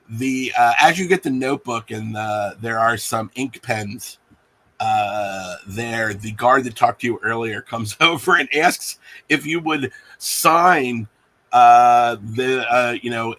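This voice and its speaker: Bright-voiced man running a tabletop game. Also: medium pitch, rough recording. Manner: halting, hesitant delivery with many pauses. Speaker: game master. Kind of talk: improvised narration of a tabletop game.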